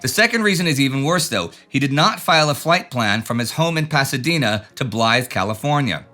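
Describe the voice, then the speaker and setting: A man's voice: medium pitch, expressive, brisk, pushed and bright, soft background music. A man hosting a show, reading out viewer letters and comments with dry, joking asides. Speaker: show host.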